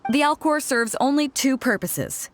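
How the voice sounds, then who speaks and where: A bold, lively-voiced fleet captain. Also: female voice, sits high, normal speed, faint music behind. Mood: firm, instructive. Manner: commanding, assured, teasing. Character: bold, confident woman captain of an armed pirate fleet. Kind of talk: video game character acting.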